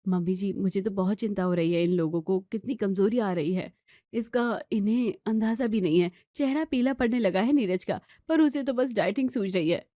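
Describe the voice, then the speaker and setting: A woman's voice: medium pitch, moderate pace, warm tone, dry recording, studio quality, close to an everyday voice. A woman voicing an anxious, complaining young daughter-in-law in an Indian family story, with fretful, emphatic delivery. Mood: worried, indignant. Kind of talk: acted Hindi family story dialogue.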